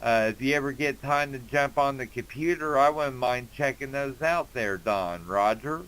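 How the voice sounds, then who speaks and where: A man's voice: medium pitch, normal speed, heavy background noise. An American man in his forties from Indiana, talking in a casual, folksy way as an amateur radio operator. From Indiana, United States. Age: 40s. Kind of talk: casual ham radio contact.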